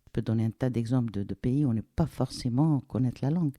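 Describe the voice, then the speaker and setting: A middle-aged woman from Algeria, speaking reflectively and pausing to search for words in a casual interview. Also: a bass voice, dark tone, dry recording, studio quality. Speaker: interviewee, the host's mother.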